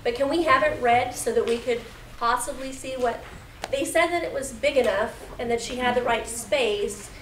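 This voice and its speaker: A woman's voice: fairly high pitch, moderate pace, fairly bright tone, slight background noise. A woman from the public making an unscripted comment at a hearing. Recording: distant, echoey room with crowd murmur.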